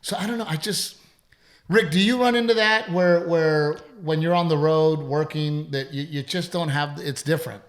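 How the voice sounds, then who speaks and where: Gravelly-voiced middle-aged man, rambling chat. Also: a little high, moderate pace. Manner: rambling, profane, self-deprecating. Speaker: comedian and podcast host. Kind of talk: casual chat.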